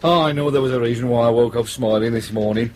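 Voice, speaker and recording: A sardonic British police detective played by a male actor leads with dry assurance in a naturalistic radio drama. His man's voice sits at a medium pitch and gives orders with cool command, over a faint bed of noise. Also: fast talker, lightly acted. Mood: pleased, brisk.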